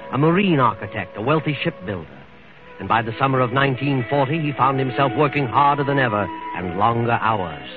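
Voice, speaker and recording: A man's voice: mid-range voice, close to an everyday voice. Man as ominous old-time radio mystery storyteller. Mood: storytelling. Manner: slow-building, ominous, deliberate. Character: mysterious, sinister male night-walking storyteller. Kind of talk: 1940s radio mystery narration. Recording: muffled old radio recording.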